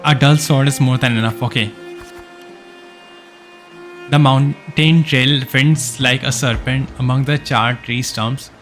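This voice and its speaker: A man's voice: mid-range voice, fairly even intonation, a little slow, long pauses. A man with a mid-range voice is streaming a game. He reads the story text aloud, then breaks off into casual, chatty reactions. Music plays faintly behind him.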